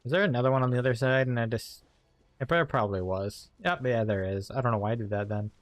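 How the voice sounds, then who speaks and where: A man's voice: medium pitch, quick pace, dry recording. A man streaming a game with casual playthrough commentary, muttering wry asides to himself.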